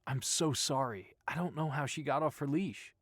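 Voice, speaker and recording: A man's voice: medium pitch, moderate pace, dry recording, studio quality, pitched above the performer's own voice, close to an everyday voice. A male narrator voicing a polite, friendly young man who sounds a bit sheepish, in an audiobook. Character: easygoing, polite young man with a new dog.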